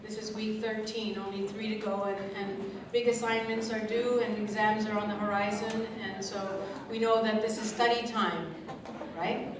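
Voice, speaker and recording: A woman's voice: medium pitch, level tone, normal speed. A woman emceeing a scholarship evening, projecting a big voice from a script to the audience. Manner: big projecting voice, speaks away from the mic; reads from a script with asides. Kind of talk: public speech to an audience. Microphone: stage mic kept at a distance. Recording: echoey room, distant mic, crowd ambience.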